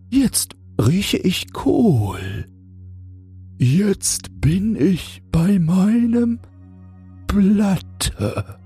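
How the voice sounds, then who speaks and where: A man's voice: high voice, gravelly, warm tone, slightly rough, voice pushed up, heavily acted. A man voicing a haughty little caterpillar that drawls in a pompous, indignant way for a storybook. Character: slow, haughty, self-important little caterpillar.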